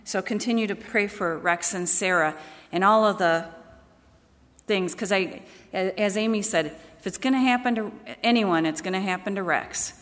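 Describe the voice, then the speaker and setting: A woman's voice: mid-range voice, normal speed, a bit bright. A woman church leader speaking in a plain, conversational way to a congregation during announcements and prayer. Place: large church meeting hall. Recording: echoey hall with long reverb.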